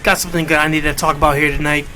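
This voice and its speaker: A man in his late thirties hosting an internet radio show, talking off the cuff in a casual, halting monologue. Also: on the high side, little pitch movement, pushed and bright, soft background music. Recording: clear background noise.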